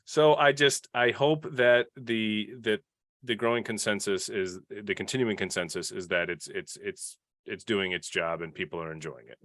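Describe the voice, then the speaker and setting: A man's voice: lowish voice, moderate pace, fairly bright tone, no echo, clean studio sound. A man speaking earnestly and a little self-deprecatingly in a casual panel discussion, as a podcast panelist.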